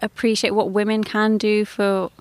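A woman's voice: mid-range voice, level tone, normal speed, no echo. Upbeat woman, dry close sound. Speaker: festival participant.

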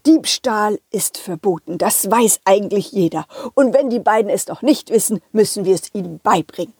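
A woman voicing a calm, kindly male police officer in a children's audio play, reassuring and gently instructive. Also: mid-range voice, moderate pace, slightly rough, dry recording, clean studio sound. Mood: firm, fair.

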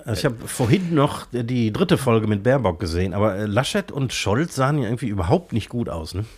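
A man's voice: medium pitch, expressive, a little fast, breathy edge. A man co-hosting a podcast with a stuffy nose from a cold, chatting hesitantly with lots of filler sounds from a cold tent studio. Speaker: podcast co-host. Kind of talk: casual chat.

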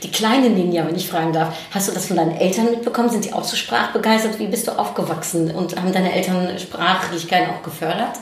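A woman host of a casual interview podcast with a somewhat low voice. She asks long, winding questions full of hesitations. The room echoes heavily around her. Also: fast, few pauses. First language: not German. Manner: hesitant, many fillers, laughs while asking.

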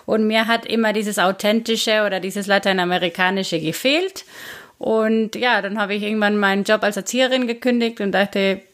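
A woman in her thirties from Guatemala speaks German with a Spanish accent in a casual interview. Her voice sits at an ordinary middle pitch. She searches for words and hesitates often, and she makes small slips of grammar. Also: fairly bright tone. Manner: frequent hesitations, non-native grammar. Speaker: bar owner, guest.

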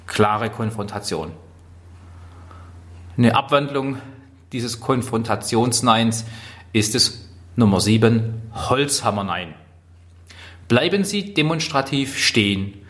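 A man with a Swabian accent speaks as a workplace trainer. His voice sits at an average male pitch. He phrases his points deliberately and leans on key words for emphasis. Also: fairly bright tone. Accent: Swabian German. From Swabia, southwest Germany. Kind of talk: instructional talk on workplace skills.

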